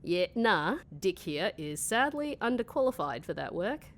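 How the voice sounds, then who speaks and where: Lively, flustered female office worker. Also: medium pitch, normal speed. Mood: breezy. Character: flustered office worker Sienna, woman hiding secret crush. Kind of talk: mockumentary-style comedy audio drama, talking to camera.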